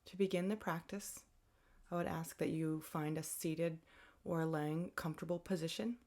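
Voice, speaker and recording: A woman guides a mindfulness exercise in a fairly low voice. Her tone is thick with creak and fry. She phrases instructions as gentle invitations, in a conversational way. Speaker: meditation guide, counselor.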